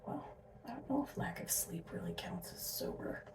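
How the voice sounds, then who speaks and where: A sleep-deprived young woman from Alberta, rambling in a soft whisper with asides and little laughs as she does her drag makeup. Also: moderate pace. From Alberta, Canada. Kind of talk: casual whispered makeup tutorial. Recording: roomy, mic set at a distance.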